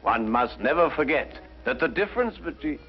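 An actor playing a brilliant, courteous detective, speaking precisely and deliberately in 1950s detective drama style. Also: male voice, a little high, big pitch swings. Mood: calm, assured. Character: brilliant, composed male detective, methodical and courteous. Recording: muffled 1950s broadcast, music underneath.

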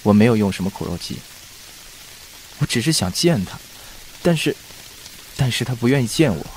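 Casting: man playing lovelorn, pleading young man, hesitant, mid pitch, audio drama with faint rainy noise. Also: lively intonation, normal speed, a little mellow, lightly acted, rough recording. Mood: dejected, stubborn. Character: lovelorn, anxious young man, stubbornly devoted. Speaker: voice actor.

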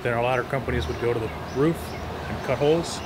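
A man's voice: mid-range voice, quick pace, heavy background noise. A middle-aged man, a fire captain, explaining calls in a matter-of-fact, dry way, outdoors in a parking lot, filmed from a few feet away. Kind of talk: explaining to visitors.

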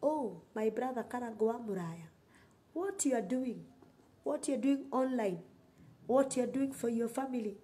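A woman's voice: fairly high pitch, animated, normal speed, dark tone. A middle-aged woman with a Kenyan accent preaching and praying on a livestream, emphatic and repeating phrases for effect. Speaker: online preacher. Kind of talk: sermon and prayer on a livestream.

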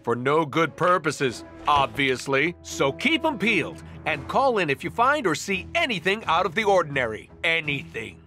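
An actor playing a phony wholesome superhero in a video game, giving a folksy, showy public announcement. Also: male voice, on the high side, big pitch swings, moderate pace, few pauses, over music. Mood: falsely friendly.

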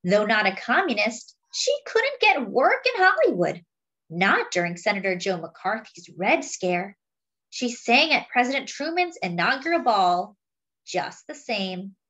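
A woman reading a picture book aloud, with expressive, dramatic phrasing. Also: on the high side, unhurried, lo-fi sound. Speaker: storybook reader.

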